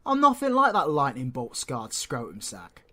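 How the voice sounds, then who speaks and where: A man's voice: medium pitch, huge pitch swings, normal speed, sharp tone, full character voice. A man with a British accent playing a cocky, menacing, flirtatious supervillain in an audio roleplay, taunting with slangy swearing and teasing endearments. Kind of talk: audio roleplay, villain ASMR acting.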